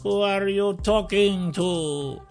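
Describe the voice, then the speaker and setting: A man's voice: high voice, takes it slowly, a little mellow, faint music behind, voice pushed up. A man voicing a timid little satyr in a fantasy audiobook, nervous and eager to please. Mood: uneasy.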